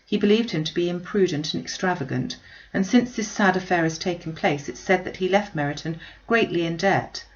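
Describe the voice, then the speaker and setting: A woman voicing a gentle, charitable, troubled young gentlewoman from a classic novel, earnest and measured. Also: fairly low pitch, muffled recording, natural voice.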